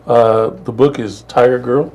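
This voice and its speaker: A man's voice: medium pitch, steady tone, measured pace, darkish tone. A man hosting a casual book interview segment. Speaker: host.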